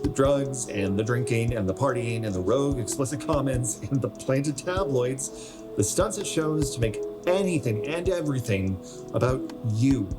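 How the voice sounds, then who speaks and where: An American man playing a weary, bluntly honest friend in his twenties in a naturalistic radio play, with measured delivery. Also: medium pitch, animated, over music, lightly acted. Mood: bitter, accusing. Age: young adult. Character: resigned 27-year-old male hometown friend, weary and bluntly honest.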